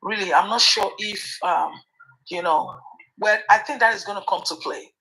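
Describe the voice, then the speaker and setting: A woman's voice: mid-range voice, normal speed, pushed and bright, low-quality recording. A woman hosting a commentary chat, hesitant with fillers at times, then pointed and emphatic. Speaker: host.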